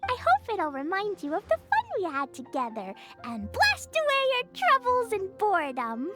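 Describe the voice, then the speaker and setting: A woman's voice: extremely high pitch, wildly swooping pitch, normal speed, loud music behind the voice, heavily acted. A woman voicing a sweet, eager little girl in a video game, with a cutesy childlike voice and a bubbly, earnest delivery. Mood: proud. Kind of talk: animated video game voice acting.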